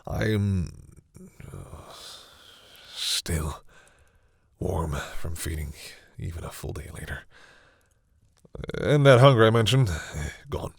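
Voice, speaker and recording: A man playing a centuries-old vampire in an intimate audio roleplay, speaking formally but haltingly in a husky, breathy voice. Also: low voice, animated, dry recording, studio quality, exaggerated voice. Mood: awed, hesitant. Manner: hesitant, halting, formal phrasing. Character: centuries-old male vampire, courteous, hesitant, commanding with servants.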